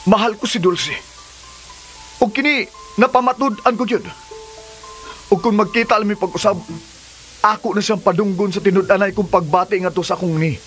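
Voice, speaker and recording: A man's voice: sits high, normal speed, pauses often, a bit bright. A man with a Cebuano accent playing an ardent, defensive lover and expectant father in a radio drama. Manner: emphatic, earnest. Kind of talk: Filipino radio drama acting. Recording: music under the dialogue.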